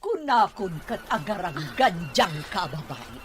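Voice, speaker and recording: An animated voice with an Ilocano accent, someone acting a hostile, sneering accuser in a serial drama. The track has clear noise. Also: medium pitch, measured pace. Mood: scornful.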